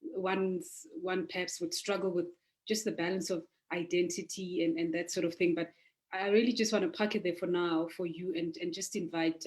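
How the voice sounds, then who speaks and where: A woman's voice: on the low side, darkish tone. A woman hosting a panel discussion in an informal, polite and somewhat wordy way, feeling out her phrases as she goes. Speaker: host and moderator.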